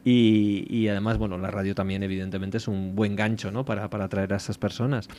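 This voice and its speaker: A man's voice: on the low side, level tone, fairly quick, a little mellow. A man hosting a casual radio interview, asking his guests friendly questions. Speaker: radio host. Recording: faint background noise.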